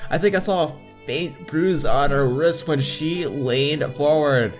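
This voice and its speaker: A man's voice: on the high side, measured pace, faint music behind. A young man hosting a casual visual novel playthrough, reading the text aloud with chatty asides and some stumbles. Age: young adult. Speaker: gameplay video host.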